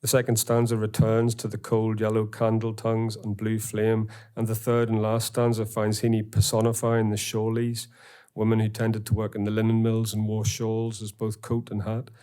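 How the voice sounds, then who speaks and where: Man, Northern Irish accent, monotone delivery, measured academic reading, lecture hall. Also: fairly low pitch, smooth. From Northern Ireland. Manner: measured, reads quotations slowly. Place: university auditorium.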